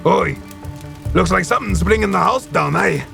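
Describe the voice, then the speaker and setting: Gravelly, chummy creature sidekick. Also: male voice, high voice, moderate pace, faint music behind, heavily acted. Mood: cheeky. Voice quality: gravelly, creaky. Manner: chummy, colloquial, animated. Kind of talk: fantasy audio drama acting.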